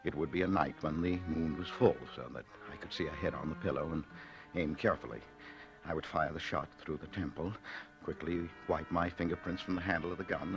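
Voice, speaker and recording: An actor playing a meek, shy, middle-aged husband secretly plotting murder in an old radio drama, with a hesitant, apologetic manner. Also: male voice, a bass voice, muffled recording. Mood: secretive, calculating. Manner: mild, hesitant, stammering, apologetic. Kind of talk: 1940s radio drama acting and narration. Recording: vintage radio recording with faint music.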